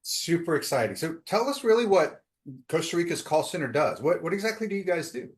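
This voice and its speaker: A man's voice: fairly high pitch, very animated, fairly quick. A man hosting a business podcast, asking long, rambling questions with frequent fillers and restarts. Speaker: podcast host. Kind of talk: interview questions.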